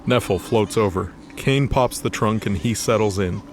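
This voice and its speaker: A man's voice: fairly low pitch, normal speed, faint music behind. A man narrating a scripted fantasy audio drama.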